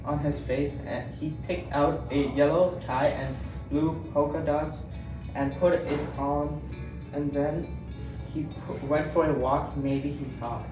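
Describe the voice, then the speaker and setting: A man reading a children's story aloud in a halting way, tripping over words and restarting. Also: fairly high pitch, little pitch movement, dull audio. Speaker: student reader. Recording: roomy, distant from mic, loud music. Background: music.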